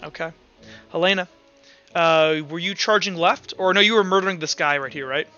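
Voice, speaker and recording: A man narrating and refereeing a tabletop roleplaying game as game master, improvising in a halting way. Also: sits high, sharp tone, highs cut off, faint music behind.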